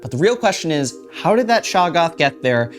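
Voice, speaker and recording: A man's voice: fairly high pitch, very animated, moderate pace. A man hosting an explainer commentary, talking informally and with emphasis. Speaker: host of a tabletop game advice channel. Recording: faint guitar music behind the voice. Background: faint plucked guitar music.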